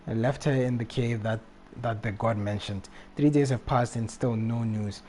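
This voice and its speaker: A man live streaming a video game, reading text aloud and commenting casually in a halting way. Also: mid-range voice, fairly even intonation, a little mellow. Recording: faint background noise, game ambience.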